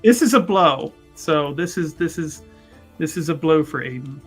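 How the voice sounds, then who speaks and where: A man's voice: on the high side, expressive, moderate pace, breathy edge. A man playing a tabletop roleplaying game, casually narrating his character's actions in a hesitant way, often repeating words and chuckling. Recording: music under voice. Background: background music.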